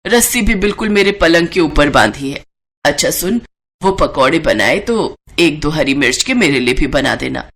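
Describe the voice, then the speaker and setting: Elderly Indian mother-in-law, played by a woman: lowered pitch, nagging yet coaxing, stubborn, family moral-story drama. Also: animated, normal speed, a bit bright, husky, full character voice. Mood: complaining. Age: older. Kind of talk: acted moral-story dialogue.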